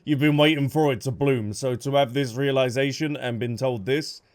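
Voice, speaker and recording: A man's voice: medium pitch, normal speed. A man giving casual gameplay commentary as a streamer, playful and chatty. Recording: game music behind.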